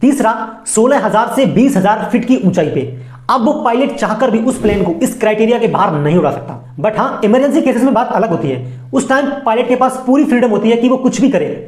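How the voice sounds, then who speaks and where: A woman's voice: mid-range voice, a little mellow. A woman with an Indian accent narrating an informal educational voice-over in a chatty, colloquial way, addressing viewers directly with playful asides. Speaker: explainer video narrator. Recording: roomy, echoing voice-over.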